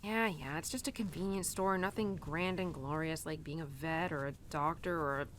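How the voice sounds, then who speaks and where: A woman's voice: low-pitched, fairly even intonation, normal speed, fairly bright tone, slight background noise. A young adult woman playing a stubbornly independent, conflicted cowgirl in an audio roleplay, confiding in her horse in a chatty, hesitant, informal way. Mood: chatty, wistful. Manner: chatty, hesitant, informal, peppered with "like" and "you know". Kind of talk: audio roleplay monologue, intimate and conversational.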